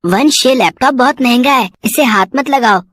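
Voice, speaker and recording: A woman's voice: fairly high pitch, moderate pace, fairly bright tone, no room sound, studio quality. A woman voicing a bragging young schoolboy in a cartoon story. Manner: bragging, sly. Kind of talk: cartoon voice acting.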